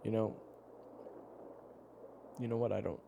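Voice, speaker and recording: A man playing a weary, protective survivor who tells stories in a halting, wry, conversational way for an audio roleplay. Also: lowish voice, fairly even intonation. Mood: wry, coaxing. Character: weary, protective male storyteller survivor, teasing and patient. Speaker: character narrator. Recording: clearly noisy background ambience.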